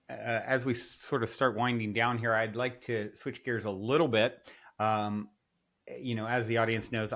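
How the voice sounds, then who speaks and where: A man's voice: mid-range voice, a bit bright. A man hosting a conversational podcast interview, encouraging and affirming his guest often. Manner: encouraging, frequent affirming backchannels. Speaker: podcast host.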